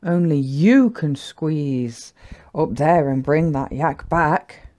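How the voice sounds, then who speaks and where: A woman voicing a kindly, encouraging mother yak in a children's storybook, speaking in expressive rhyme. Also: deep voice, takes it slowly. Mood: urgent pleading. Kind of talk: children's picture-book character voice.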